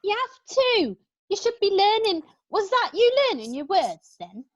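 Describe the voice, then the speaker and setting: A woman's voice: very high-pitched, sing-song, unhurried, lo-fi sound, exaggerated voice. A woman voicing a bossy little girl who scolds a classmate, in an amateur play reading.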